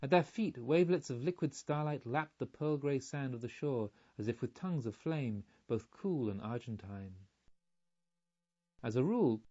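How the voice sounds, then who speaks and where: A man reading a literary audiobook in a measured, formal way, on a slightly muffled recording. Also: mid-range voice, darkish tone. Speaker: audiobook narrator. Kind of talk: literary scripted narration.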